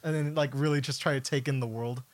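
A man co-hosting a podcast, chatting casually about films and games in a halting way, peppered with "like". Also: medium pitch, fairly even intonation, dry recording. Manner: halting, heavy use of "like". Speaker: podcast co-host.

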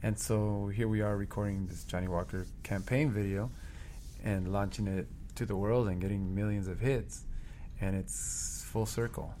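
A low-voiced man tells band stories with animated, earnest energy. A faint background hiss runs under his speech. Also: normal speed. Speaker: band member. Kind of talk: casual interview chat.

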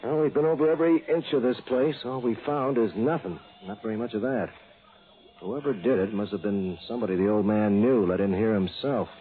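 An actor playing a plain-spoken, seasoned homicide sergeant in a 1960s radio drama, gruffly friendly and colloquial. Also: male voice, on the low side, a little fast, natural voice. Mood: businesslike, serious. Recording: muffled old radio broadcast, phone-like band.

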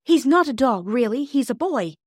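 Lady doing an eager storybook girl, high and excitable, insistent, dry close sound. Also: brisk, no echo. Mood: excited. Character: eager, kind-hearted, excitable young girl.

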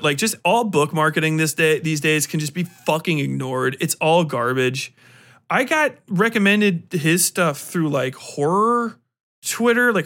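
Profane, higher-voiced guy rambling casually. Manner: profane, slangy, informal; animated, exclamatory, acts out mock exchanges. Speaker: podcast host. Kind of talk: casual rambling book review talk.

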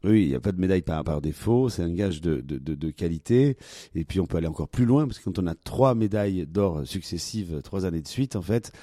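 A man explaining how a competition works in an interview, halting often with fillers. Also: sits low, expressive, dark tone, dry recording, clean studio sound. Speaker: competition organizer.

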